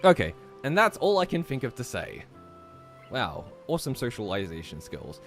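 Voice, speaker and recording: A man's voice: medium pitch, wildly swooping pitch, moderate pace. A young adult man from Australia chatting casually on a game stream with friends and reading aloud. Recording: music behind the voice.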